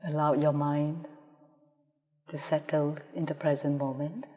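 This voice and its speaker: Slow, mellow woman guiding meditation, long reverb. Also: low voice, dull audio. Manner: calm, measured delivery with pauses. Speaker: meditation teacher. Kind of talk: guided meditation. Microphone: video call. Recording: reverberant hall-like sound, live video call.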